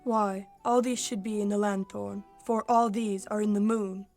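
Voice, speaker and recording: A woman's voice: mid-range voice, fairly even intonation, normal speed, a little mellow, faint music behind, natural voice. A young woman playing a witty courtier in a radio play of Shakespeare, teasing in formal banter.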